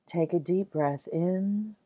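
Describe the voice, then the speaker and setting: A low-voiced woman leads a guided meditation very slowly, with soothing, gentle phrasing. She leaves long, measured pauses between short phrases. The recording is close and dry. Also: breathy edge, dry recording.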